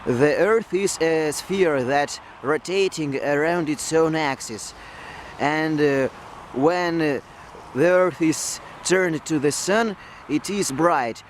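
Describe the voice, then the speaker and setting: A man speaking English as a second language, explaining a science topic slowly with frequent pauses and fillers. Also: a little high, a little hiss or hum. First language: not English. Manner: slow, hesitant, with frequent fillers. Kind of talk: unscripted educational explanation.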